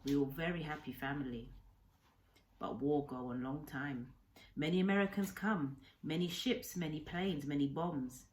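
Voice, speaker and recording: A woman voicing a kindly old Japanese castaway doctor in a storybook, speaking earnestly in short, halting, simplified English sentences. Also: low voice, darkish tone, natural voice. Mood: grave, sorrowful.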